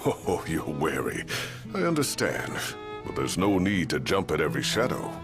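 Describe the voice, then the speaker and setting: Courteous older game insider, rock-bottom pitch, swooping intonation, wry, formal wording. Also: male voice, very animated, music in the background, heavily acted. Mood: welcoming, knowing. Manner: measured, formal, wry phrasing. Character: shrewd, gravel-voiced older local man, courteous and knowing. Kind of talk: video game cutscene acting.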